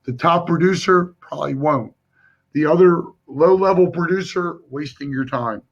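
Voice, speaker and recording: Hoarse male sales coach, slightly high, emphatic and direct; dull stream audio. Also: normal speed. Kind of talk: live-stream sales training.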